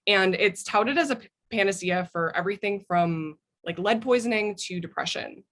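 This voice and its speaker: A woman's voice: mid-range voice, moderate pace, fairly bright tone. An American woman speaking as an interview guest, telling personal stories in a thoughtful way. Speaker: interview guest, memoir author.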